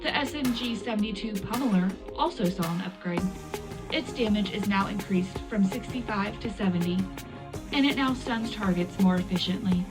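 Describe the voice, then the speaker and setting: A female wartime news presenter, played by a woman, delivers a scripted military bulletin. Her delivery is measured and official, sitting at an average pitch for a woman. Music plays clearly behind her. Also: natural voice. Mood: composed, official. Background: loud music bed.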